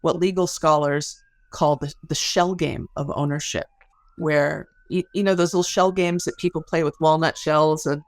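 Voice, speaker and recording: Creaky-voiced woman, low-key academic explainer. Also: fairly even intonation, normal speed, no echo. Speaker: academic researcher, interview guest. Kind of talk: explanatory podcast interview.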